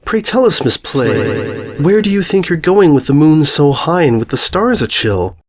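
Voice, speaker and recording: Man voicing a regal pony princess, raised pitch. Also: big pitch swings, a little slow, breathy edge, heavily acted. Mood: stately, questioning. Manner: formal, stately phrasing. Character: regal female pony princess, stern yet caring.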